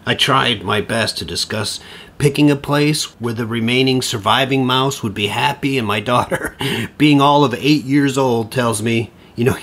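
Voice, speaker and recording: An actor playing an eager, rambling, over-familiar middle-aged divorced dad in an audio drama. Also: male voice, medium pitch, normal speed. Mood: wry, rambling. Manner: stammering, over-familiar, excitable.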